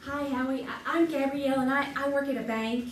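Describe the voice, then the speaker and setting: Woman acting as nervous quiz contestant, stammering, high voice, echoey theater. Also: fairly even intonation, a little fast, distant mic, lightly acted. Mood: hesitant. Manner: hesitant, stammering. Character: nervous bank clerk wife, hesitant and sweet. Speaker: game show contestant. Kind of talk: old-time radio stage acting. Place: theater stage. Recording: echoey live theater recording with hiss.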